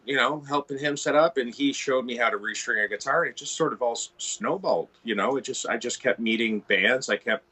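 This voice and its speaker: A man's voice: medium pitch, fairly quick, few pauses, pushed and bright, slightly rough. A middle-aged Canadian man from Ontario, chatting as a guitar tech guest in a casual interview, rambling with many restarts and "you know"s.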